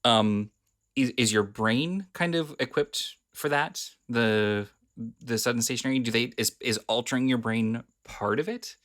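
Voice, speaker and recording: A man's voice: medium pitch, unhurried, a bit bright. A man co-hosting a podcast in casual chat, asking curious questions with lots of likes and ums. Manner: curious, questioning, many fillers. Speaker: podcast co-host.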